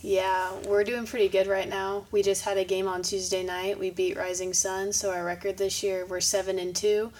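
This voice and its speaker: A woman's voice: medium pitch, flat delivery, normal speed, few pauses, a little mellow. Teen girl guest, upbeat and organized, a bit far from the mic, faint hiss. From Kentucky. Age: teens. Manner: upbeat, organized, starts answers with "so". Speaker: student guest. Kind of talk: casual interview answers.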